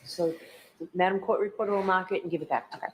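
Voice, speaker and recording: A woman's voice: on the low side, fairly even intonation, fast talker, low-quality recording. A woman presiding as judge, giving plain procedural directions in a courtroom. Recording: faint noise and clicks.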